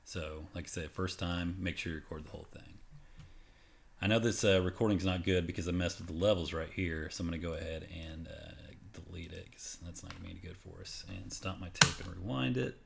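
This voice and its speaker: A man's voice: sits low, fairly quick, gravelly, slight background noise. A man presenting a casual, unscripted how-to as a voice-over, explaining step by step in an informal way. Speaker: tutorial presenter. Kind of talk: casual screen-recorded how-to narration. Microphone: voice-over on a screen capture.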